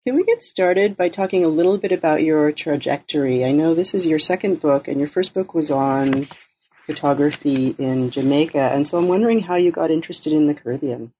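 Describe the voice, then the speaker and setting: A woman's voice: low-pitched, fairly quick, dark tone, husky, rough recording. A woman hosting an interview podcast, reading an introduction and then asking conversational questions. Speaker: podcast host.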